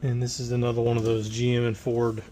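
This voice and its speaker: Hoarse guy in a noisy shop, chatting plainly while he wrenches. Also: mid-range voice, completely flat delivery, fast talker. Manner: plain-spoken, working while talking. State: hoarse, recovering from tonsillitis. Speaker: transmission rebuilder. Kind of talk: casual shop walkthrough.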